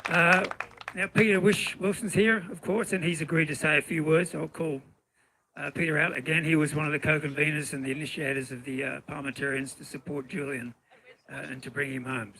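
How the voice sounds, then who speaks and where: Aussie bloke mc'ing a rally, lots of uhs, kinda high voice, noisy outdoors. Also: male voice, steady tone, a little fast, pushed and bright. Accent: Australian. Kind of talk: introducing speakers.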